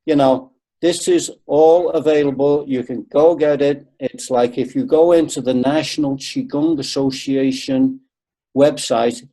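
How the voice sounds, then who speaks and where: A man's voice: medium pitch, dark tone, low-quality recording. A man in his sixties, a guest on a webinar video call, talking earnestly and repeating points for emphasis. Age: 60s. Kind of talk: webinar conversation.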